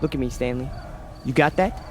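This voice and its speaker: An actor playing an intense, callous young fascist leader in a radio drama, forceful and commanding. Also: male voice, mid-range voice, brisk, soft background music, close to an everyday voice. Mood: cold, angry.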